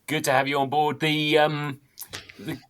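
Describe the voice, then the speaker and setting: Halting male podcast host. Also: fairly high pitch, little pitch movement, fairly bright tone, clear, resonant tone, dry recording. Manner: halting, stops and restarts often. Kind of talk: casual podcast chat.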